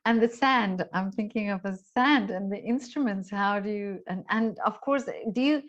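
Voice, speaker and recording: A woman's voice: mid-range voice, moderate pace, dull audio. A woman hosting a casual interview, feeling her way through questions in tentative, searching phrases. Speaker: interviewer, host.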